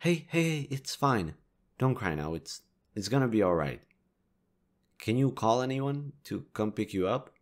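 A man playing a kind, reassuring young stranger in an intimate audio roleplay, speaking gently, considerately and a little hesitantly. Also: on the low side, sing-song, measured pace. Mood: comforting. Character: kind, reassuring young male stranger helping a distressed girl.

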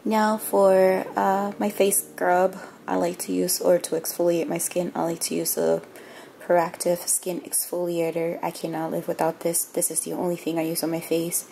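A young woman with a raspy voice, a bit under the weather, chatting casually to viewers about her routine in a small bathroom. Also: on the low side, monotone, normal speed, warm tone. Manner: chatty, self-mocking, talks to viewers directly. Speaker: beauty vlogger. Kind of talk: casual chat. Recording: music playing clearly underneath.

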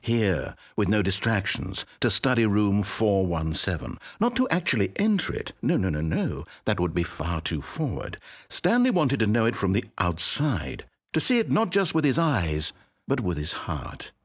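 A needy, sarcastic game narrator. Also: male voice, lowish voice, normal speed, husky, full character voice. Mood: wry. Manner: swings between pleading, sarcasm and excitement. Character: fussy, needy male narrator of an office game.

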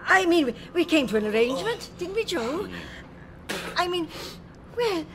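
Flustered high-pitched British mum. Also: female voice, big pitch swings, moderate pace. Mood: flustered, evasive. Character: flustered, timid, unwelcoming working-class mother. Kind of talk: radio drama acting. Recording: radio drama with noisy background.

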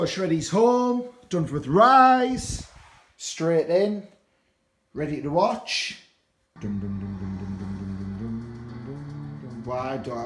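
A man's voice: mid-range voice, roomy sound, distant mic. A brash, jokey young man, vlogging casually into a phone camera at arm's length. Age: young adult. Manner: brash, jokey, swears freely. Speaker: vlogger and wrestler. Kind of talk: casual vlog chat. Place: home living room, also outdoors at night.

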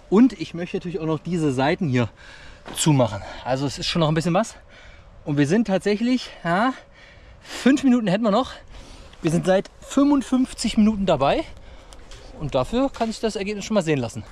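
A man's voice: high-pitched, a little mellow. A man in his thirties from Germany, talking casually and colloquially to his viewers in an outdoor how-to vlog. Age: 30s. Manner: colloquial, chummy address to viewers. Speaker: outdoor vlogger and host. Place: outdoors in a forest. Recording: clear background noise, outdoor ambience.

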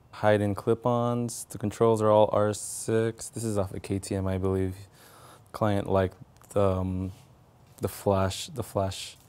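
A young man giving a casual, matter-of-fact technical walkthrough as a bike builder, in a halting way. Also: fairly low pitch, darkish tone, clear, resonant tone. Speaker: custom motorcycle builder. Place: home garage with door open.

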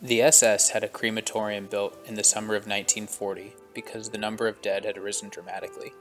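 A man reading a measured, solemn voice-over narration for a travel vlog walking tour. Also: on the low side, flat delivery, a bit bright, soft background music.

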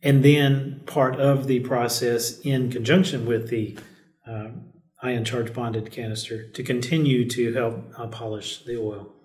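Mid-pitched man in echoey room. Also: level tone, measured pace, a little mellow. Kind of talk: measured technical discussion. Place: small conference room, indoors.

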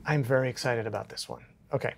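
A man's voice: mid-range voice, fast, creaky, a little hiss or hum, lightly acted. A man acting as an earnest, hesitant middle-aged struggling writer in a film drama. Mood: eager, earnest. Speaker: actor in a short film. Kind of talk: film dialogue.

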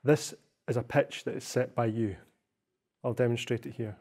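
Mellow young man giving a tutorial. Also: medium pitch, fairly even intonation, normal speed, husky. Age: young adult. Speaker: software demonstrator. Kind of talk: tutorial narration.

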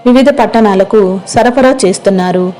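A woman's voice: medium pitch, moderate pace, warm tone, faint music behind, low-quality recording. A woman storyteller reading scripted narration for a moral tale.

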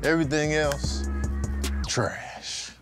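Higher-pitched man, playful casual rant. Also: steady tone, slow pace, music in the background. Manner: colloquial, playful ranting. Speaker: comedian contestant. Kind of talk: casual comic confessional. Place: podcast studio.

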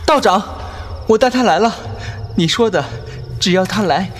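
A man voicing a desperate young man who pleads loudly to save his lover in a fan audio drama. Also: sits high, expressive, moderate pace, slight background noise. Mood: pleading. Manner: pleading, raised and strained. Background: loud background music.

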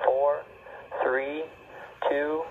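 A man's voice: on the high side, flat delivery, very slow. Man calling a rocket countdown. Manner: crisp, procedural. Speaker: launch countdown announcer. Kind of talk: launch control callouts. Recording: dull broadcast playback with loud noise.

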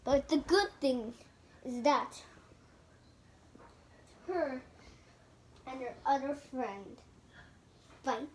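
Child storyteller, high voice, dramatic and halting, making up a toy fairy tale at an unhurried, slow pace. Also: a little hiss or hum. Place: indoors in a room at home.

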